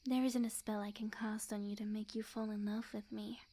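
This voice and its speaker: A woman voicing a sweet, obsessively lovestruck, smug young witch in an intimate ASMR roleplay, speaking in a soft breathy near-whisper with quiet menace. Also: medium pitch, flat delivery, brisk, close to an everyday voice. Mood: playful, coaxing. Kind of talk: ASMR roleplay, intimate scripted monologue to the listener.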